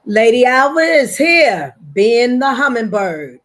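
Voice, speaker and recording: A woman's voice: on the high side, big pitch swings, slow, fairly bright tone. A woman co-hosting a podcast, chatting in a playful, upbeat way and dropping rhymes. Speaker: podcast co-host. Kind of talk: casual chat.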